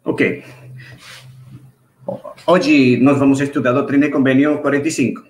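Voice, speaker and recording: A man's voice: mid-range voice, steady tone, a little mellow. Venezuelan guy teaching sunday school on a video call, spanish-native accent, stops and restarts a lot, echoey room. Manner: halting, with frequent restarts and "né?" checks. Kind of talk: online church class.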